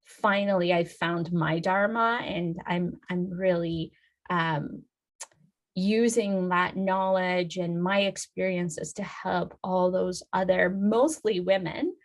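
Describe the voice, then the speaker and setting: A woman's voice: lowish voice, fairly even intonation, slow pace. A woman in her thirties from Iran, a yoga and Ayurveda healer, speaking as an interview guest in a thoughtful, hesitant way with many fillers.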